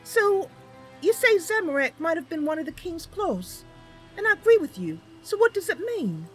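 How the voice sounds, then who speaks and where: A woman's voice: very high-pitched, very animated, normal speed, faint music behind, voice pushed up. A woman voicing an earnest, apologetic young female wood elf for a game roleplay, hesitant, naive and plain-spoken. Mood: candid, sympathetic.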